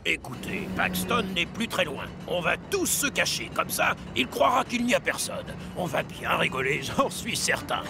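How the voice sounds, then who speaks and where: Sly, creaky cartoon diesel villain. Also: male voice, high-pitched, big pitch swings, normal speed, hardly any pauses, heavily acted. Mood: gleefully scheming. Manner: sly, cackling, theatrical. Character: mischievous, boastful, scheming diesel locomotive. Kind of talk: animated children's cartoon dub. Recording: music behind the voice.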